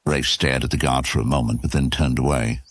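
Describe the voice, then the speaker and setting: A man's voice: very deep voice, steady tone, brisk. A man narrating a scripted fantasy story as the narrator of an audio serial.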